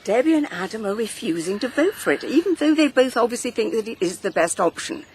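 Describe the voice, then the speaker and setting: A woman's voice: fairly high pitch, very animated, brisk, darkish tone, a little hiss or hum. A woman playing a hurt, resolute older wife and matriarch with an English accent, earnest and persuasive in a naturalistic radio drama. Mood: insistent, worried.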